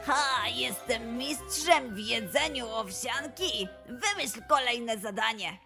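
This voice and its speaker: A woman voicing a playful young male lion cub, eager and excitable, in a children's audio play. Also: fairly high pitch, takes it slowly, vocal fry, over music, heavily acted.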